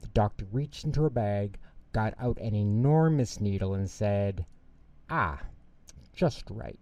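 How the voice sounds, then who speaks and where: A man reading a children's bedtime story aloud as an expressive storyteller. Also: on the low side, moderate pace, no room sound.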